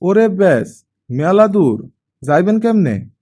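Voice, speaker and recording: A man's voice: high-pitched, very animated, slow, dark tone, pitched above the performer's own voice, heavily acted. A man voicing nosy, plain-spoken village men with a rural Bangladeshi accent in an audiobook. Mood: curious.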